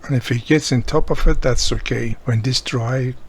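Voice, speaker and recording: A man's voice: medium pitch, steady tone, moderate pace, a little hiss or hum. A man who is not a native English speaker explains a hands-on repair step by step, unscripted, often checking in with the viewer. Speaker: radio repair hobbyist presenting a tutorial.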